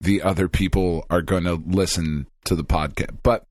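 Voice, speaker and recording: Low-voiced man venting, swearing freely. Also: steady tone, normal speed, no echo, studio quality. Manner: rambling, venting, heavy swearing. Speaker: podcast co-host. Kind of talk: casual podcast chat.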